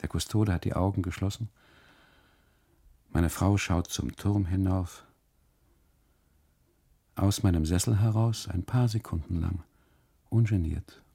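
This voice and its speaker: A man narrating a literary radio monologue in the first person, deliberately, in short phrases broken by pauses. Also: deep voice, steady tone, creaky, warm tone, breathy edge.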